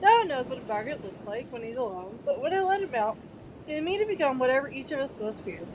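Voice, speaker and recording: A woman's voice: high-pitched, very animated, quick pace, noisy background. A woman playing a courteous, encouraging male professor instructing a class in an audio drama. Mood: instructive. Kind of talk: fan audio drama acting.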